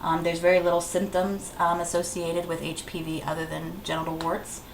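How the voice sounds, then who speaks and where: A woman's voice: lowish voice, level tone, normal speed, gravelly. A woman giving an informal, plainly instructive health presentation as a clinic educator, repeating key advice. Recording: roomy echo, faint background noise.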